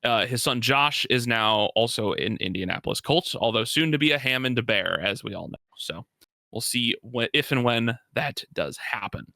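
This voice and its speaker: Male sports co-host, very bright tense edge, emphatic and opinionated, dry close sound. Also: mid-range voice, lively intonation, normal speed, vocal fry, no room sound. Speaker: podcast co-host. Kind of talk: casual sports talk, analysis.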